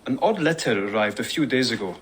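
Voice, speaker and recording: Voice a man playing a polite, learned advisor with a mid-pitched voice. His delivery is steady and helpful, and he reads out passages with care. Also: fast talker, lightly acted. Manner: courteous, informative.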